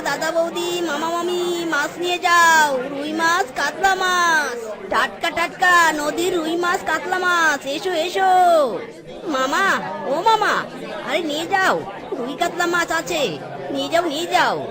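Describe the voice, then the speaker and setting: A woman's voice: extremely high pitch, a little slow. A woman voicing a stubborn, boastful male fox fisherman in a children's cartoon, exclamatory and repetitive. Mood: hawking, cheerful. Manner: exclamatory, repetitive street-hawker calls. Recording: loud music and crowd sounds behind.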